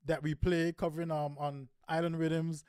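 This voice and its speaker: A man's voice: on the high side, flat delivery, normal speed, darkish tone, clean tone, no room sound, studio quality. A man hosting a live morning radio show, chatting loosely with many ums and you-knows as he asks his co-host questions. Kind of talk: casual radio chat. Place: radio studio.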